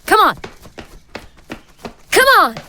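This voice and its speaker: A woman's voice: extremely high pitch, sing-song, takes it slowly, sharp tone, heavily acted. A woman playing a bitter, betrayed and vengeful woman in a horror audio drama. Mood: irritated, confused.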